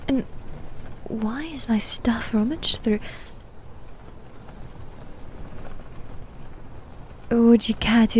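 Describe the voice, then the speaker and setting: Casting: haughty female demon knight, played by a woman for ASMR roleplay; highish, mellow, teasing, often whispered. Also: slight background noise. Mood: cool, suspicious. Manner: soft, breathy, often whispered, deliberate and teasing.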